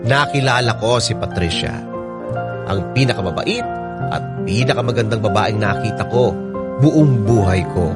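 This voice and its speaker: Radio drama narrator: male actor as devoted young romantic, animated delivery, letter-reading style, loud music bed. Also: mid-range voice, natural voice. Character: lovestruck devoted young man telling his love story.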